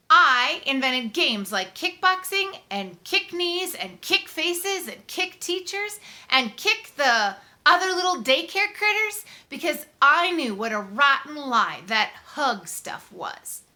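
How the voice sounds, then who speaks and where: A woman reading a story aloud in the first person, conversational and expressive, leaning on key words for dramatic emphasis. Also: sits high, slow pace. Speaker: audiobook reader.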